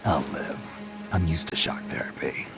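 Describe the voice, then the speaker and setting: An actor playing a terse, hardened, stoic soldier in a video game, with a gruff voice. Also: male voice, a bass voice, moderate pace, music in the background. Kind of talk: dramatic video game acting.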